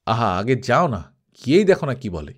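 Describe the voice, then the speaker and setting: A man's voice: medium pitch, sing-song, normal speed. A man voicing a bossy, scolding male leader of adventurers in a dramatised audiobook. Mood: commanding.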